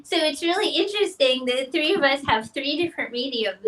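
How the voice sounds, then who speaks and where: A woman's voice: high voice, animated, brisk, noisy background. A woman artist hosting a casual live video show, enthusiastic and giggly. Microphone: video call.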